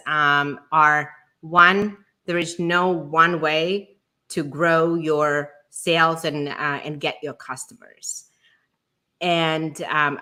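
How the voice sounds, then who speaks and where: A woman's voice: on the low side, bright, forceful tone. A woman co-hosting a live stream, giving conversational startup advice as a founder. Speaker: show co-host and startup founder.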